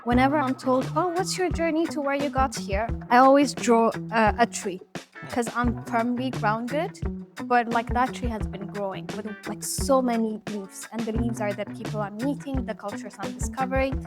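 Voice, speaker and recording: Woman, higher voice, podcast co-host, reflective, laughs often, music clearly underneath. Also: moderate pace. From Ethiopia. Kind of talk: casual conversation.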